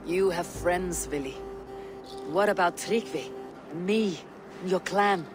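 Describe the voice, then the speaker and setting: A male actor playing a steady, wry young Viking warrior in cinematic game dialogue, with measured, deliberate phrasing. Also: high-pitched, over music. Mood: sincere, firm.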